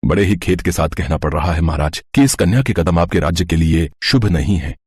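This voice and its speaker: Cartoon royal priest voiced by a man: solemn, foreboding, very deep and husky. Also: fairly quick, a little mellow, no echo, studio quality. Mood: ominous. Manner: solemn, ominous. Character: grave elderly male royal priest.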